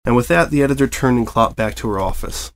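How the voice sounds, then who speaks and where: A man reading fan fiction aloud as an expressive storyteller, in scripted narration. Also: mid-range voice, fast talker.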